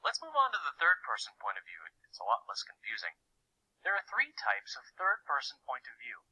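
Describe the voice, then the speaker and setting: An earnest, slightly put-upon cartoon boy explains things in a conversational, wry way. The voice is sharp and bright with some rasp. The pitch moves around in an animated way. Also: brisk, heavily acted, rough recording. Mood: explaining. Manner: clear explanatory delivery with wry asides.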